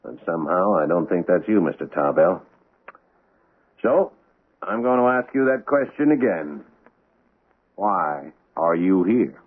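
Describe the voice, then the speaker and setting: An actor playing a cynical police lieutenant who presses hard with skeptical questions. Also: male voice, deep voice, animated, unhurried, long pauses, husky, heavily acted. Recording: tinny old radio broadcast.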